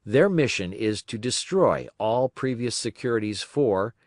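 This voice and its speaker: A man reading a political text aloud as an audiobook narrator, phrasing deliberately with emphatic pauses. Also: on the low side, animated, no room sound, clean studio sound. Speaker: audiobook reader.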